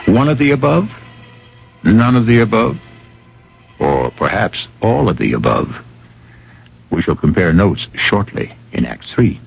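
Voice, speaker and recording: A man with a gravelly voice hosting a radio mystery, giving theatrical, measured scripted narration. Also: lowish voice, big pitch swings. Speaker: host. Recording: dull old radio broadcast recording. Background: music.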